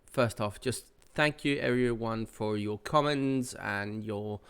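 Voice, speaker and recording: A man's voice: on the low side, slow pace, fairly bright tone, smooth. A man hosting his gaming channel, sounding nasal from a cold, giving a casual, rambling update with many fillers.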